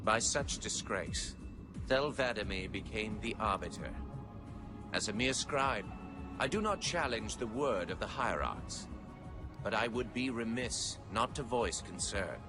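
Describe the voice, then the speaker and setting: A solemn alien chronicler from a video game. His low voice is severe and pious, narrating with ceremonious, foreboding weight. Music is clearly heard underneath. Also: animated. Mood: grave, disapproving. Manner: formal, ceremonious, measured narration.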